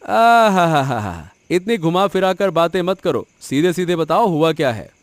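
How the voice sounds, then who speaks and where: A man voicing a good-hearted, sentimental farmer husband in a Hindi cartoon moral story, expressive and full of exclamations. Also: on the high side, a little slow, no echo. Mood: curious.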